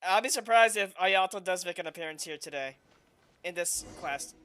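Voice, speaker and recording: A man casually reacting to a story game he is playing, chatty and excitable. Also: high-pitched, moderate pace, a little hiss or hum. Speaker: gameplay commentator.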